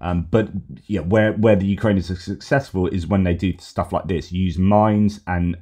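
A middle-aged man talks through the news in a loose, rambling way, full of fillers and restarts. His voice sits low and mellow, slightly worn as if he's losing it. He is recorded at home on a headset mic. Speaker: host. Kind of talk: casual commentary on news posts.

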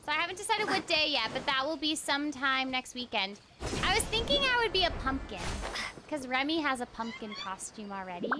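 High-voiced young woman chatting on a game stream. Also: big pitch swings, brisk, very noisy. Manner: chatty, thinking aloud, exclaims at the game. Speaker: streamer, voice actor. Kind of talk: casual game livestream chat. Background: game music.

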